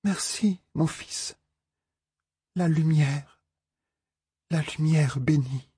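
A man voicing a tormented mother who pleads with her son, slow and tearful. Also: on the high side, a little mellow, husky, no room sound, studio quality, voice pushed up. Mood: grateful.

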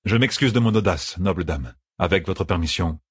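Man playing an earnest, low-voiced devoted soldier. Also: moderate pace, slightly rough, no echo, clean studio sound. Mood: formal, bitter. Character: earnest, devoted male soldier, passionate and wounded in pride.

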